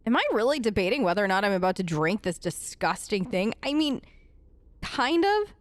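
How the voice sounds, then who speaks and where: A woman's voice: mid-range voice, very animated, moderate pace, no room sound. A woman hosting a role-play game let's play, talking casually in character with a hesitant, playfully sarcastic, conversational manner.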